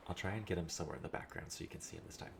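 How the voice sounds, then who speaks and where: A young man with a very deep, gravelly voice rambles casually as he plays, thinking out loud between remarks. He speaks over a steady layer of background noise. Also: racing. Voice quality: low voice. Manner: rambling, chatty, thinks aloud. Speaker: streamer. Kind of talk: casual let's-play chat.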